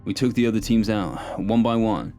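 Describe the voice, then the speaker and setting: A man voicing a shaken, weary male detective with a subdued, husky delivery, in an audiobook. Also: on the low side, moderate pace, dark tone, faint music behind, lightly acted.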